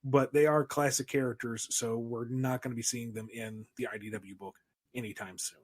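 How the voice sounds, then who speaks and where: A man's voice: mid-range voice, fairly even intonation, normal speed, no echo. A man in casual podcast talk as a writer, wryly acting out quoted exchanges. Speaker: comic book writer.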